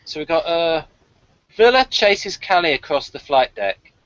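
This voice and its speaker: Male review host, highish animated voice, dryly jokey read-aloud delivery, dead-room lo-fi audio. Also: a little slow, no echo. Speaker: podcast host reading out his episode review. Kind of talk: casual review, reading notes aloud with asides.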